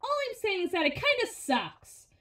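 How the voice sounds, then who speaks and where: A woman's voice: extremely high pitch, big pitch swings, moderate pace, fairly bright tone, exaggerated voice. A woman playing a brawny, sardonic henchwoman who grumbles and teases in an intimate audio roleplay, with a conversational, sarcastic delivery. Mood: sardonic, griping. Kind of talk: ASMR audio roleplay, intimate one-sided dialogue. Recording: roomy, echoing voice recording.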